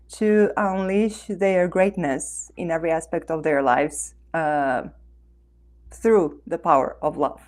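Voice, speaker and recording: A woman from Romania with a Romanian accent, speaking earnestly and warmly as an author guest in an interview. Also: mid-range voice. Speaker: author and life coach guest. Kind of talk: interview conversation.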